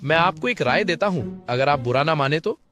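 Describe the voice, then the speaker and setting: A man voicing an eager, flattering donkey adviser with a fawning manner in an animated moral story. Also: a little high, normal speed, music in the background, lightly acted.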